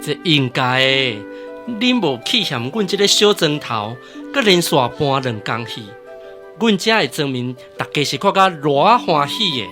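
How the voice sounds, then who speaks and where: A hospitable village gentleman in a radio play, courteous and solicitous. His bright voice has a Taiwanese accent and animated melody, over faint music. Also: a little high, a little slow, heavily acted. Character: generous, hospitable village gentleman, eager host. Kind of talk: Taiwanese-language radio drama acting.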